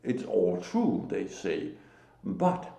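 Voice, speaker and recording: A Danish-accented man delivers a slow, emphatic religious talk, pausing often between phrases. His low voice is dark and gravelly and swings widely in pitch. The sound carries a roomy echo. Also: huge pitch swings. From Denmark. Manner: slow, halting, emphatic, with short laughs. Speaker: lay preacher. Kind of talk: solo religious talk to camera.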